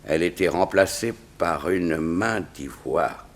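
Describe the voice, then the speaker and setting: An actor playing a grave, dignified diplomat with a formal, measured delivery in a 1960s French radio serial. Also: male voice, low-pitched. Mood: reading, puzzled.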